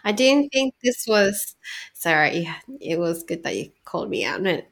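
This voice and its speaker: Reflective woman podcast co-host, low voice. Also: big pitch swings, moderate pace, vocal fry, fairly bright tone. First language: Cantonese. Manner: chatty, laughs often, reflective. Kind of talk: casual podcast chat.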